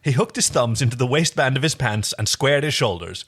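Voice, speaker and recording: Animated man reading fan fiction aloud. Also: mid-range voice, brisk, breathy edge. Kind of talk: dramatized story reading with casual asides.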